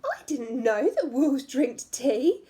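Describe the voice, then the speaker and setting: A woman reading aloud as a bold young girl in a children's storybook, with a plucky, expressive delivery. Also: sits high, slow pace, full character voice. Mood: puzzled.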